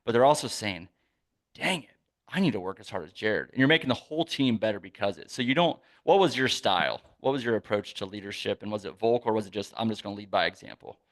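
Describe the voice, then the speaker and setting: Lowish-voiced American man hosting a podcast. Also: animated, fast, fairly bright tone. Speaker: podcast host. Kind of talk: casual conversational interviewing.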